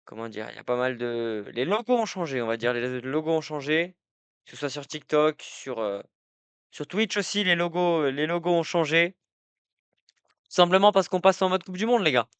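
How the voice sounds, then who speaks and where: Chatty young man with a crisp voice. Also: on the high side, lively intonation, moderate pace, fairly bright tone, dry recording. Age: young adult. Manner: rambling, many fillers and restarts, talks to chat. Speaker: live streamer. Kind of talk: casual live-stream football talk. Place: indoor room at night.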